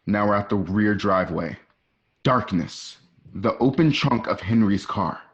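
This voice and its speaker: A man's voice: on the low side, unhurried. A man narrating an amateur radio play, reading out screenplay stage directions. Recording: dull lo-fi home recording.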